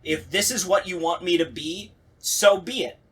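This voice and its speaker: A man hosting a casual podcast discussion, talking in a rambling, animated, sweary way. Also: sits high, normal speed, breathy edge. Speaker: podcast host.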